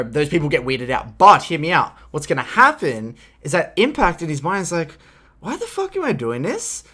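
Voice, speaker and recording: A man co-hosting a podcast, talking in an animated, insistent way and acting out hypotheticals in casual debate. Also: medium pitch. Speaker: podcast co-host. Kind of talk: casual heated discussion.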